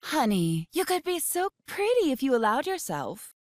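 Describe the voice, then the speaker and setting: A woman's voice: sits high, big pitch swings, moderate pace, darkish tone, no echo, clean studio sound, pitched above the performer's own voice. A woman voicing a critical, nagging, disapproving mother in a horror audiobook.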